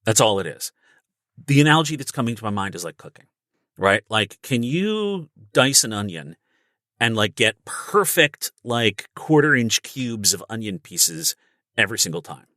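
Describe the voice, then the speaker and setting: A man's voice: on the low side, sing-song, moderate pace, bright, forceful tone, no echo, clean studio sound. A man speaking as a guest voice actor on a podcast, explaining things casually and emphatically through everyday analogies. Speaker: guest voice actor and audiobook narrator. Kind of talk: casual instructional podcast conversation.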